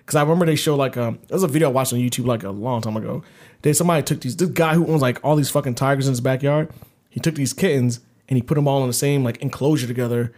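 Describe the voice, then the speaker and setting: Fast-talking American man, slangy and rambling. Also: medium pitch, darkish tone. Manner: slangy, profane, rambling storytelling. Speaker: podcast co-host. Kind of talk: casual comedic chat.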